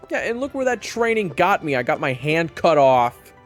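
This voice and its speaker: A man's voice: on the high side, big pitch swings, moderate pace, long reverb tail, soft background music. A man playing a wry, sarcastic young adventurer who also narrates a fantasy audio roleplay. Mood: irritated. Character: young male adventurer hero, wry, irritable trainee and narrator.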